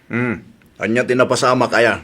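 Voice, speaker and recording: A man's voice: medium pitch, steady tone, normal speed, a bit bright, close to an everyday voice. A man acting a nosy, teasing husband with a questioning, needling manner, in an audio comedy drama. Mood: curious.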